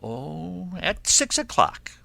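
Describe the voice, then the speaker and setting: A man voicing a sly, menacing storybook wolf who coaxes and blusters in a drawn-out, huffing delivery. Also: fairly high pitch, huge pitch swings, gravelly, sharp tone, dry recording, voice pushed up, heavily acted. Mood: sly coaxing. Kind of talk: children's fairy tale character voice.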